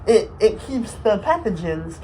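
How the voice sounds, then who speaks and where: A man's voice: high-pitched, big pitch swings, measured pace, warm tone, a little hiss or hum, pitched above the performer's own voice, low-quality recording. A man voicing a timid, eager-to-help cartoonish cell in an educational comedy skit, hesitant and stammering.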